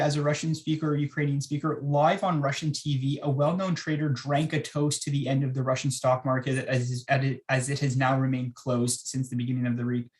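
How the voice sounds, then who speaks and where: A man hosts an interview program. He reads out the news of the day and then puts questions to his guest in a loose, conversational way. His voice sits somewhat high for a man. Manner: conversational, with frequent fillers. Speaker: interview host. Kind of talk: news-style interview hosting.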